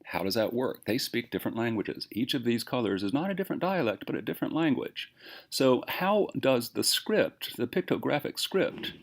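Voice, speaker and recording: A man's voice: mid-range voice, animated, moderate pace, gravelly. A man teaching a class, lecturing with emphatic rhetorical questions.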